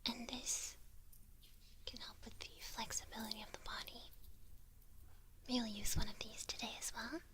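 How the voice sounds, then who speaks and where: A woman playing a teasing witch who mentors an apprentice in a whispered ASMR roleplay, halting and gently patronizing. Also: fairly high pitch, level tone, lightly acted. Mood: hushed, instructive. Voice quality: breathy whisper.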